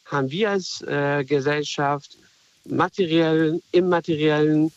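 A man from Afghanistan calling in to a radio show, earnest and reflective, often pausing to find his words. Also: a little high, steady tone, no room sound. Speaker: caller. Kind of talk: call-in radio comment. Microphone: telephone. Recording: dull phone line.

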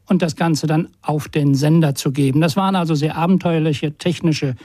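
A man recollecting in an interview style, halting, with pauses between his phrases. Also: on the high side, steady tone, mellow, no echo, clean studio sound.

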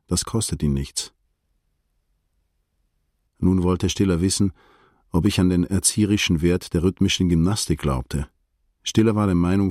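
An actor playing a reflective male prosecutor, narrating a literary radio drama in a measured, detached, observant way. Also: sits low, darkish tone, slightly rough, no echo, studio quality. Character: reflective male state prosecutor recounting a visit to friends.

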